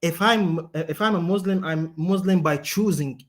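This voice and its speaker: A man calling in to a debate, speaking in halting, broken English full of fillers. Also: high-pitched. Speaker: caller. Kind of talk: hesitant debate talk.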